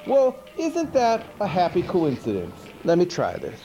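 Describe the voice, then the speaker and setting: A goofy monster horror host played by a man chatters in a raised yet mellow, dark-toned voice. He rambles through comic movie recaps with slangy mock indignation. It sounds like an old 1980s TV tape with a light hiss. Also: high-pitched, wildly swooping pitch, a little slow, exaggerated voice. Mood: rambling, goofy. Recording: noisy 1980s VHS TV recording.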